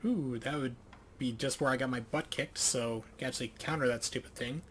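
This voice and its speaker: Male streamer, reads tutorials aloud and self-corrects, mid pitch, faint background hum. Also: brisk, fairly bright tone. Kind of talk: thinking aloud while reading game tutorials.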